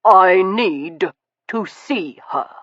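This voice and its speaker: A woman's voice: lowish voice, measured pace, dry recording. A woman voicing a tall, imposing aristocratic man who speaks in clipped, firm tones, on a dull, dry recording. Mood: calm, cold.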